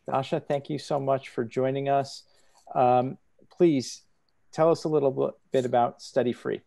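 Brief: middle-aged male moderator, warm mellow tone, upbeat, earphone mic on a call. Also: medium pitch, fairly even intonation, measured pace, vocal fry. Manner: friendly, upbeat transitions. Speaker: panel moderator. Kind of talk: moderating a video panel. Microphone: earphone headset on video call.

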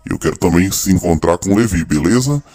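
A man voicing a proud, boastful monster king who taunts his rival in a fan-made animated reaction video. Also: sits low, fairly quick. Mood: casual. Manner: taunting, indignant, addresses rival directly.

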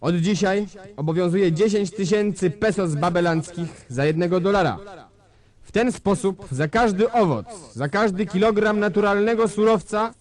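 Bombastic male dictator, high-pitched, pompous orator addressing his nation in a stage drama. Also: darkish tone. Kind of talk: theatrical political speech.

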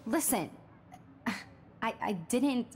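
Casting: snide young mean girl, high pitch, snooty, game drama. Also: lively intonation, very slow, darkish tone, slight background noise, heavily acted. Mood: flustered. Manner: indignant, snooty. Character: haughty, snide young female student rival. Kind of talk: narrative video game acting.

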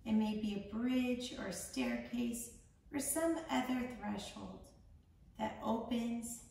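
Female meditation guide, higher-pitched with some creak, gentle and unhurried, recorded in a very reverberant room. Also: big pitch swings. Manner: soothing, gentle, measured with long pauses. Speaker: meditation guide, founder of a yoga charity. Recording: echoey room, distant mic, faint noise.

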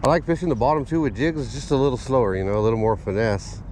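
A man giving casual running commentary while fishing at a park pond, talking to an action camera and getting excited over his catches. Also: mid-range voice, rapid-fire, rough recording. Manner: easygoing, talks to himself and the camera, excited by catches. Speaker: fishing vlogger. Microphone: chest- or head-mounted action camera. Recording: faint outdoor noise.

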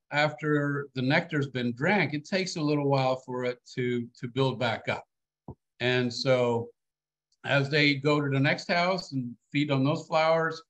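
An older man from Sweden gives an easygoing online talk from his home office. He speaks at an ordinary middle pitch, loose and joking, stopping to restart sentences and filling gaps with "uh". The sound is plain video-call audio. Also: rough recording. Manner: relaxed, joking, many restarts and fillers. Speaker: guest speaker. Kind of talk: casual lecture over a video call.

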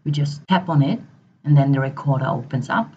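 A woman narrating a product demonstration step by step in a halting way, as a voice-over. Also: rumbling low, slight background noise. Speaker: software demo presenter. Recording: dull, slightly muffled voice-over.

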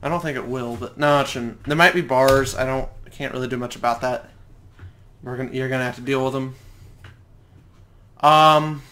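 A man's voice: medium pitch, fairly even intonation, moderate pace, pauses often, pushed and bright, a little hiss or hum. Rambling self-conscious young gamer guy. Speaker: let's play host. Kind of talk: casual gameplay commentary. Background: game music.

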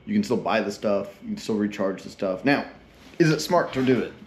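Low-voiced young HVAC guy, rambling. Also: animated, brisk, slight background noise. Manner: casual, rambling, many fillers and restarts. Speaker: HVAC technician and channel host. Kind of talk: casual explainer vlog. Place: backyard beside an AC unit, later a small office.

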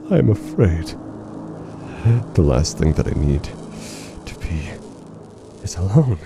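Horror audio drama: male actor as unhinged blind announcer, deep, slow, formal, brooding, over noisy ambience. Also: sing-song, creaky, warm tone, exaggerated voice. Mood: desperate, lonely. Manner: theatrical, halting, formal, brooding. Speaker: voice actor playing a radio announcer. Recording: noisy rumbling ambient bed under the voice.

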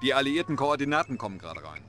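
A man's voice: medium pitch, big pitch swings, fast, pushed and bright, a little hiss or hum. An actor playing a middle-aged, stern and dutiful Soviet military officer briefing his leader in a video game cutscene, formal and earnest. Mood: urgent, formal. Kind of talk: video game cutscene acting, German dub.

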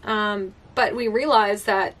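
A woman's voice: mid-range voice, fairly even intonation, takes it slowly, fairly bright tone, recorded from a distance. A young woman who lives on a sailboat, chatting casually, often saying "like" and laughing lightly, inside a small boat cabin. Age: young adult. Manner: chatty, giggly, uses "like" often. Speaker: sailboat-living vlogger. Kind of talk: casual chat.

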